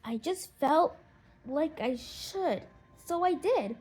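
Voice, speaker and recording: A woman voicing a gentle, helpful male eagle who speaks earnestly and unhurriedly in a children's storybook. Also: high voice, lively intonation, slow, voice pushed up.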